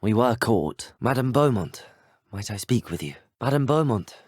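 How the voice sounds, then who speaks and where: A man's voice: medium pitch, animated, normal speed, slightly rough, no echo, studio quality. A young man with a British accent voicing a courteous, earnest teenage wizard in a video game, well-spoken and formal. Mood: polite, businesslike. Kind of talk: scripted video game dialogue.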